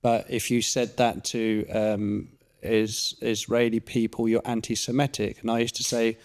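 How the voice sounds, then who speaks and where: A man's voice: on the low side, level tone, measured pace. A man hosting a casual interview who talks in a rambling, chatty way. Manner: rambling, chatty, many fillers and restarts. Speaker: podcast host.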